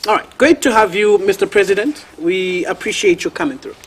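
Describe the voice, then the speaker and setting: A man with a Zambian accent hosts a formal broadcast interview. His voice sits high for a man and has a husky, rough edge. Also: expressive, brisk. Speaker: television interview host.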